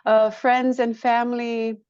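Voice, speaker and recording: A woman's voice: a little high, level tone, unhurried, a little mellow, clear, resonant tone, no echo, muffled recording. A woman from Brazil answering casually as an interview guest, matter-of-fact and repeating phrases for emphasis. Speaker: guest, yoga studio owner.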